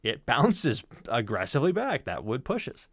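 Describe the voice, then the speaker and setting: Guy telling a story casually, kinda wry and emphatic, mid pitch, dry close sound. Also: huge pitch swings, measured pace, no echo. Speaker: storyteller on a podcast stream.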